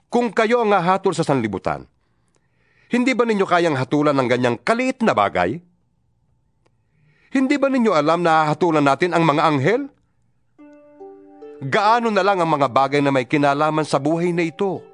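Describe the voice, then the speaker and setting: A man's voice: fairly high pitch, big pitch swings, moderate pace, long pauses, no room sound, studio quality. A man giving a dramatized, earnest reading of scripture, preaching with rhetorical questions. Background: background music.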